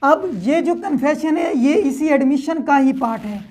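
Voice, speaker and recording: A woman's voice: high voice, a little slow, darkish tone. A woman teaches as a lecturer. She explains methodically with examples, restating each point and checking that it is understood. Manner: didactic, step-by-step, repeats points and checks understanding. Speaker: law lecturer.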